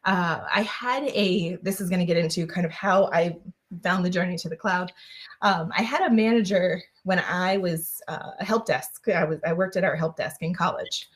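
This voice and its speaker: Lowish-voiced woman guest, casual and rambling, heavy on fillers. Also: moderate pace. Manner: chatty, many fillers and restarts. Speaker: guest, engineer. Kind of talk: casual interview answers.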